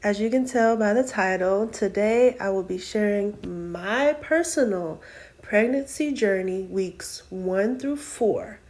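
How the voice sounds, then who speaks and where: Woman in her twenties, unhurried delivery, warm dark tone, casual vlog chat, hesitant with ums. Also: medium pitch. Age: 20s. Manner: slow, soft-spoken, with frequent ums and self-corrections. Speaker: vlog host. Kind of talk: casual personal vlog talk. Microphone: camera mic, sitting and reading from notes.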